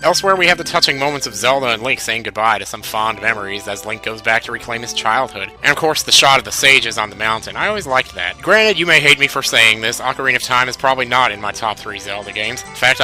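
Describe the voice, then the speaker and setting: A man's voice: medium pitch, fast, hardly any pauses, sharp tone, rough recording. A man hosting a video game countdown with scripted narration, conversational and slangy, with wry asides. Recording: music behind the voice. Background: music.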